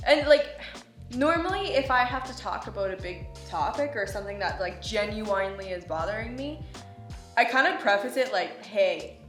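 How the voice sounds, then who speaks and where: A young adult woman chatting casually as a travel vlogger, soft-spoken and full of "like". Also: medium pitch, expressive, normal speed, roomy sound, soft background music. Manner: soft, chatty, says "like" a lot. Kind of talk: casual chat.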